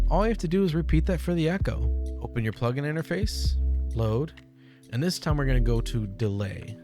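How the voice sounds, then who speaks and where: A young man explains things step by step in a casual, plain-spoken and reassuring way, close on a studio microphone. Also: mid-range voice. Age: young adult. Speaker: streamer giving a software tutorial. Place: small room at home, indoors. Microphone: close studio mic on a boom arm. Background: background music.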